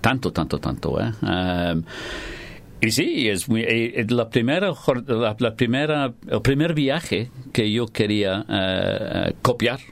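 A middle-aged writer with a Glasgow accent answers questions in careful, halting learner Spanish. He restarts and fills pauses often, in a somewhat low voice. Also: lively intonation, vocal fry. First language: English. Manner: halting, many restarts and fillers. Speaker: writer and guest.

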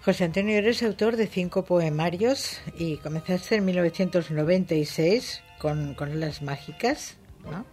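A radio interviewer speaks at a middling pitch and asks questions courteously, with an inquisitive tone. Faint music sits under the voice. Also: a little slow, hardly any pauses, darkish tone.

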